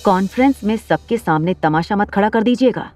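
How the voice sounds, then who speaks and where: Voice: mid-range voice, lively intonation, brisk, darkish tone, soft background music, close to an everyday voice. A narrator voicing a bold young woman who coolly mocks a man. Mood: mocking.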